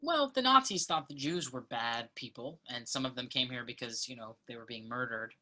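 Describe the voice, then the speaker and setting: A woman reading the part of an earnest, thoughtful college student in a play, speaking in a measured, naturalistic way. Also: very deep voice, expressive, bright, forceful tone, exaggerated voice. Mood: earnest, persuasive.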